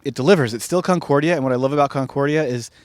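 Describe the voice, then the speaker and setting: Male podcast co-host, mid pitch, a bit hoarse, dry wit, dead-dry close mic. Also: very fast, no echo. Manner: dry, teasing humor. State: hoarse, recovering from a cough. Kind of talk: casual chat.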